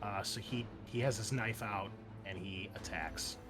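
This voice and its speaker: A man's voice: on the low side, measured pace, music in the background. A man running a tabletop game, narrating action dramatically in casual, profanity-laced speech. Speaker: game master.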